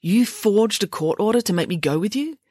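A woman's voice: medium pitch, expressive, moderate pace, mellow, dry recording, voice pushed up, close to an everyday voice. Storybook role: woman playing a wounded, surly adolescent boy, defensive, varied intonation, dry recording. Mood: sullen, hurt. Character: sullen, wounded teenage boy.

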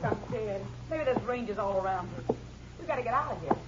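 A woman's voice: medium pitch, expressive, brisk, lightly acted. An actress playing a frightened, defiant female outlaw in a 1950s radio western. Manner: panicky, defiant. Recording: muffled, noisy 1950s radio recording.

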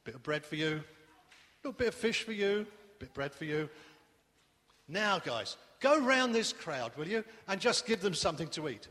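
A man playing a calm, kindly leader. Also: on the high side, moderate pace. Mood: cheerful. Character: calm, kindly grown man leading friends. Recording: echoey hall reverb.

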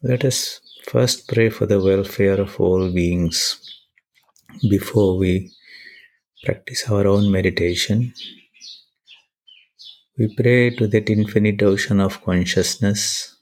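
A man leading a guided meditation and prayer in measured, deliberate short phrases with pauses between them. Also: on the low side, a little mellow.

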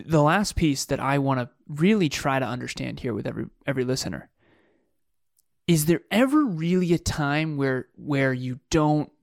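A man's voice: fairly high pitch, creaky, dry recording, studio quality. A man co-hosting a podcast, musing aloud in casual chat with frequent restarts. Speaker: podcast co-host.

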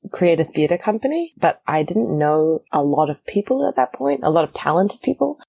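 A woman's voice: sits low, sing-song, moderate pace, creaky, warm tone, husky, no room sound. A woman from New Zealand with a New Zealand accent, chatting casually as an interview guest. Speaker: interview guest, theatre director, producer and actor.

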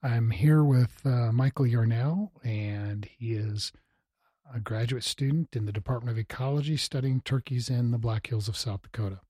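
A man's voice: medium pitch, moderate pace, mellow, breathy edge, dry recording, clean studio sound. An American man hosting a casual interview, with an easygoing, folksy way of asking questions. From Missouri, United States. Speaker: interviewer, host.

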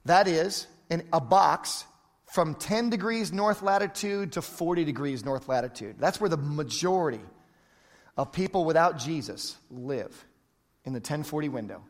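A man's voice: on the high side, lively intonation, moderate pace. Emphatic male preacher in a reverberant hall. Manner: emphatic, repeats phrases for effect. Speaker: pastor. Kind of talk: sermon.

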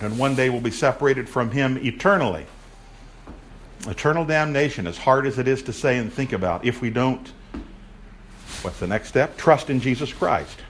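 Man lecturing a room on church history. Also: mid-range voice. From raised in a Baptist family. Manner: projecting, asks the audience questions. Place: room with an audience.

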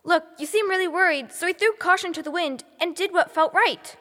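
Woman as a high-voiced, bold, excitable girl. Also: brisk, long reverb tail. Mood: earnest, excited. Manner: eager, blurting, informal.

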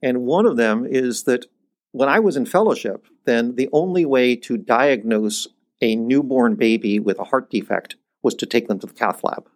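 An older man, a cardiologist guest, talking through his experience in a thoughtful interview, with many restarts and pitch lifts when he stresses a point. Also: moderate pace. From United States, born in Iceland. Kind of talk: conversational interview.

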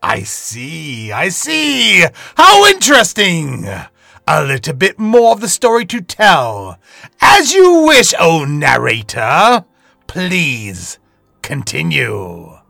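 A man playing a grand, pompous lord who presides over an audio storybook, speaking in a drawn-out, theatrical manner. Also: fairly high pitch, wildly swooping pitch, creaky, sharp tone, no room sound, studio quality, voice pushed up, heavily acted.

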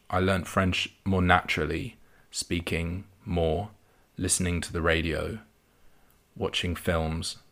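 Deep, gravelly man hosting a lesson. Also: fairly bright tone. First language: English. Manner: clear, measured explanation. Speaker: podcast host and English teacher. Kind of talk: scripted podcast lesson for learners.

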